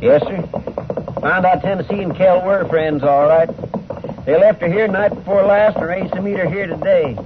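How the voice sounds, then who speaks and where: A man's voice: high-pitched, steady tone, normal speed, noisy background, close to an everyday voice. Guy doing loyal american western sidekick, folksy and deferential, old tinny radio show recording. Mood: reporting. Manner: folksy, deferential, plain-spoken. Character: loyal, folksy deputy of a frontier marshal. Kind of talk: old-time radio drama acting.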